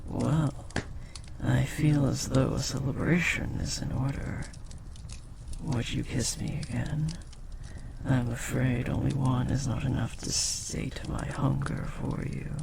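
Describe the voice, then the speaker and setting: A man playing a devoted, lovestruck demon in an intimate audio roleplay, speaking formally, earnestly and with breathy hesitation. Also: mid-range voice, fairly even intonation, slow pace, very noisy. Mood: tender, yearning. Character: devoted, lovestruck powerful demon bound to a sorcerer.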